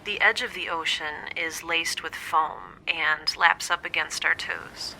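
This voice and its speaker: An actress playing a lonely, wry young space scientist in an audio drama, confiding hesitantly and often dropping to a near-whisper over a log recording. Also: female voice, deep voice, steady tone, a little slow, vocal fry, bright, forceful tone, slight background noise, full character voice, rough recording. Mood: pensive, uneasy. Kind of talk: scripted audio drama monologue, intimate dream-log recording.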